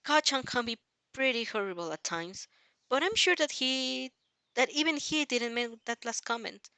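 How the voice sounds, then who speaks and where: A woman voicing a gentle, timid teenage boy in a fan fiction reading, earnest and hesitant. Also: fairly high pitch, lively intonation, normal speed, dry recording, pitched above the performer's own voice. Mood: earnest, hurt.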